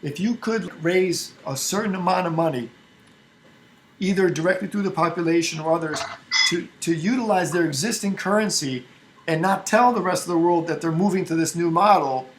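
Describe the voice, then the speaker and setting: High-voiced, emphatic man arguing. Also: a little hiss or hum, low-quality recording. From Albania. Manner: emphatic, argumentative, with frequent restarts. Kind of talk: casual group discussion.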